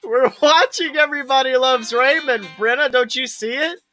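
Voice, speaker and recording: A man's voice: very high-pitched, normal speed, sharp tone, faint music behind. A man co-hosting a podcast with casual comedic banter, rambling and exclamatory. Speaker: podcast co-host.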